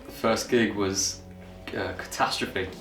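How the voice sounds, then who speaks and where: Flat-toned 20-year-old man. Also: lowish voice, takes it slowly. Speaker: band's lead guitarist. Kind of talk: documentary interview. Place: band practice room. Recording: echoey room, far from mic, music behind.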